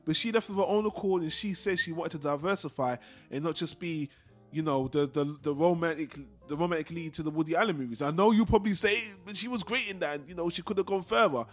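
A high-pitched male podcast host talks quickly and casually about films, with music underneath. He rambles and digresses freely, sharing his opinions as he goes. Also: fairly bright tone. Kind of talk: casual film discussion podcast.

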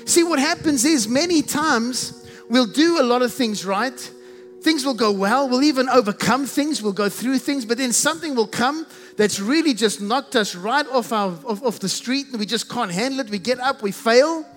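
A man with a South African accent delivers his sermon from the pulpit in an unusually high voice. He is emphatic, piles up synonyms and draws out the phrases he wants to stress. His voice rings through a reverberant hall while soft music plays faintly behind him. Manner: emphatic, repeats strings of synonyms, stretches key phrases slowly. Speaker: preacher.

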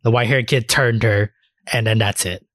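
A man's voice: lowish voice, steady tone, normal speed, a bit bright, dry recording. A man co-hosting a podcast, chatting casually and retelling things in loose, informal language. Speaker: podcast co-host. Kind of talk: casual chat.

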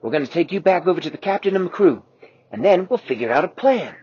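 A man puts on a daring cartoon sailor who shouts his lines high and bright. The voice is husky and swoops all over the place. The recording sounds dull and lo-fi. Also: quick pace. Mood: cheerful. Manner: high excited exclamations.

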